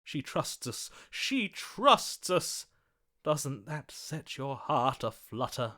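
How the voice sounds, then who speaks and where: An actor playing a lovestruck, gallant romantic hero in a video game, speaking in a florid, swooning, melodramatic way. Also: male voice, mid-range voice, big pitch swings, takes it slowly, bright, forceful tone, full character voice. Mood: tender, adoring. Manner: florid, swooning, dramatic delivery. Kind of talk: theatrical video game voice acting.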